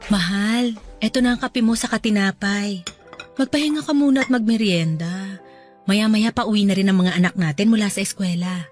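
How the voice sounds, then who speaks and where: A woman playing a gentle, caring middle-aged wife and mother in a radio drama, speaking affectionately and soothingly. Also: medium pitch, moderate pace, natural voice. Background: soft music bed.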